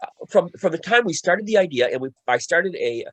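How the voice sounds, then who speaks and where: A man's voice: fairly high pitch, animated, fairly quick, dry recording. A man talking casually on a panel as a co-writer, often repeating himself and restarting phrases as he recounts a creative process. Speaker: radio play co-writer and panelist.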